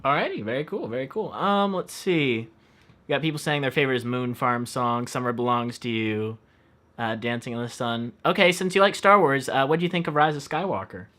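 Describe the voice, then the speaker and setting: A man's voice: medium pitch, fairly quick, pushed and bright. A man hosting a casual live stream interview in a friendly way, reading out viewer questions. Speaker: host.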